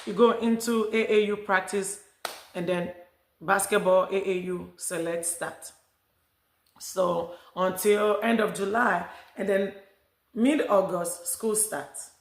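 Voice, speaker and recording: A woman's voice: mid-range voice, fairly even intonation, slow pace. A middle-aged woman from Africa talking casually to camera as a vlogger, rambling with many restarts. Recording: echoey room.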